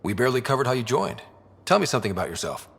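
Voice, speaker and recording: An actor playing a young human expedition leader in a video game, curious and dryly teasing, with a husky, conversational voice. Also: male voice, medium pitch, very animated, racing, a bit bright, full character voice. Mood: inquisitive. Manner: conversational, inquisitive, dry. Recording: long reverb.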